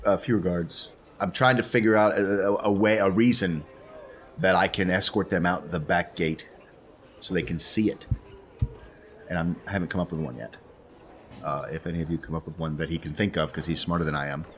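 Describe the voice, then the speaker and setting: A man playing a tabletop role-playing game, talking casually in a measured, hesitant way. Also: deep voice, steady tone, a bit bright, a little hiss or hum.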